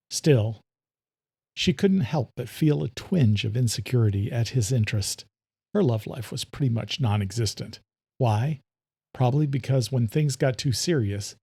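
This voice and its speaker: A man's voice: mid-range voice, gravelly, a little mellow, husky, dry recording. A man narrating scripted horror-thriller fiction as a storyteller, close on the mic.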